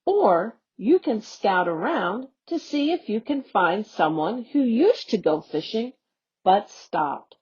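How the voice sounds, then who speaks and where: A woman on an education staff reading a youth newsletter aloud in a slow, expressive storybook manner. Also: medium pitch, breathy edge. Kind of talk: read-aloud for young learners. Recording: dull lo-fi audio.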